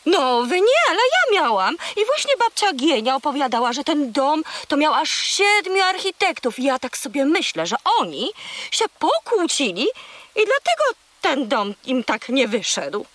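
High, animated, chatty seagull. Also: female voice, moderate pace, no room sound. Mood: eager storytelling. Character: scatterbrained, chatty female seagull, boastful storyteller. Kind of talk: children's audio drama acting.

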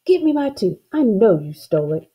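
Woman voicing a feisty, high-pitched little country girl. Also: big pitch swings, a little fast, mellow, husky, full character voice, rough recording. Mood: furious. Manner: exclaiming, accusatory, folksy. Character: feisty young girl, indignant, folksy country storybook heroine.

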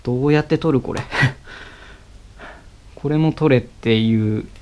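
Young guy, unhurried, filler-heavy, mumbling to himself mid-job, head-cam audio, faint hiss. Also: medium pitch. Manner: slow, halting, many fillers, mutters to himself while working. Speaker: motovlogger. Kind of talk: casual how-to commentary while working. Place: cluttered garage indoors. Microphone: head-mounted action camera.

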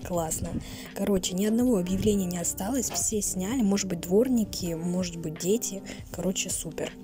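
A teenage girl narrating a craft vlog in a casual way, her voice hoarse from a cold. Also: medium pitch, normal speed, over music. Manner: casual, chatty. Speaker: vlogger. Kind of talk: voice-over narration.

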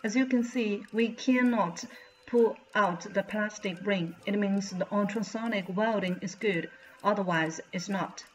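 A woman's voice: medium pitch, unhurried, faint music behind, rough recording. A woman in her thirties with a Chinese accent, presenting a factory walkthrough in careful, slightly non-native English. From China. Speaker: channel host, mold company representative. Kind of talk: scripted factory walkthrough narration. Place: indoors, plain bright backdrop. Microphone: front-facing camera, medium close framing.

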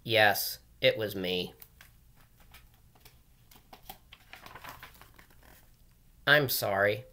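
A man voicing a naughty little boy from a storybook who makes excuses, in a drawn-out, emphatic delivery. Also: fairly low pitch, bright, forceful tone. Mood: confessing.

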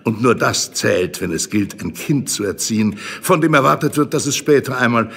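A man playing a jovial, effusive, very fat gentleman in his fifties in a German audio drama, gushing and patronising with theatrical delivery. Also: medium pitch, expressive, normal speed, creaky, slightly rough, in a large hall, heavily acted. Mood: jovial, persuasive.

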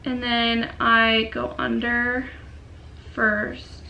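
A young woman in her twenties with a cold, chatting casually through a makeup tutorial in a dim bedroom, often trailing off into quiet murmurs. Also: a little high, completely flat delivery, very slow, gravelly, lo-fi sound, a little hiss or hum. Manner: chatty, filler-heavy, drifts into quiet murmurs while working. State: sick and sniffly. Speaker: beauty vlogger.

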